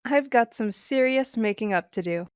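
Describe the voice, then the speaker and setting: A woman's voice: fairly high pitch, animated, normal speed, clean tone, no room sound, clean studio sound, lightly acted. Woman playing a flirty, affectionate wife.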